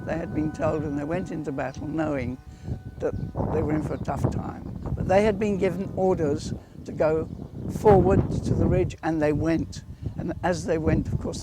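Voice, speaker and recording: A British woman in her eighties reminisces in an interview in a measured, articulate way, recorded outdoors. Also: on the low side. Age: 80s. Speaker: war widow being interviewed. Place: outdoors at a war cemetery. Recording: noisy outdoor location recording.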